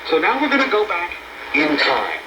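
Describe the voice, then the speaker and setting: A man's voice: high voice, wildly swooping pitch, normal speed. Through very heavy shortwave noise and echo comes a male radio host. He talks informally and playfully, in a chatty flow that often doubles back to repeat phrases. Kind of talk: informal banter and interview on a radio show. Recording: noisy echoey shortwave radio broadcast.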